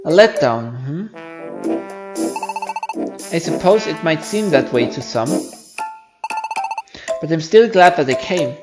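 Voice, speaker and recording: Someone doing an unhurried, kindly older chief. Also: mid-range voice, animated, over music, voice pushed down. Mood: pensive. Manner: measured, musing, genteel.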